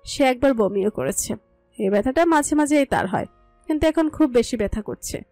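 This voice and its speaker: A woman's voice: high voice, expressive, normal speed, mellow, dry recording. A woman narrating a scripted romantic story. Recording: music under the voice. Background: music.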